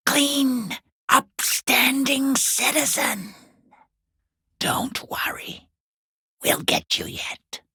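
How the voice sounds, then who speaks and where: Raspy, whispering, sneering lurker. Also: male voice, very high-pitched, pushed and bright, no echo, clean studio sound, heavily acted. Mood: menacing mockery. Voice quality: rough, raspy, breathy half-whisper with heavy creak. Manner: sarcastic, taunting, drawn-out mockery. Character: sneering, foul-mouthed watcher peeking through a harbour gate gap. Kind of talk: video game character voice acting.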